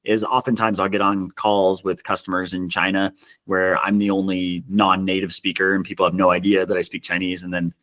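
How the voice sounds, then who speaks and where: A man speaking casually as an interview guest, telling stories in an animated, self-deprecating way. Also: low voice, fairly quick. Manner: self-deprecating, chuckles as he talks. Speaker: podcast guest, customer success executive.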